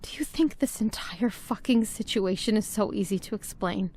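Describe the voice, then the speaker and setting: A woman's voice: mid-range voice, moderate pace, darkish tone, a little hiss or hum, lightly acted. A woman playing an exasperated, biting character in a crime game drama, sardonic and reproachful. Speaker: voice actor in game cutscenes. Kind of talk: dramatic scripted dialogue.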